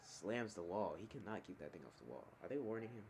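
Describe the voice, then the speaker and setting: A man with a deep, low voice commentates an online stock car race. He calls the action in quick bursts and corrects himself on the fly. A faint hiss of noise sits underneath. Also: animated, creaky. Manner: rapid calls of on-track action, talking himself through corrections. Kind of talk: sim racing play-by-play commentary.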